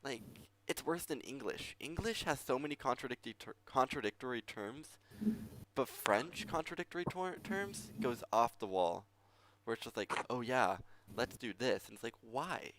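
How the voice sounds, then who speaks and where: A man with a gravelly voice running a tabletop game on stream, chatting casually in a rambling, joking way and acting out imaginary dialogue. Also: mid-range voice, lively intonation, moderate pace, noisy background. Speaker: game master.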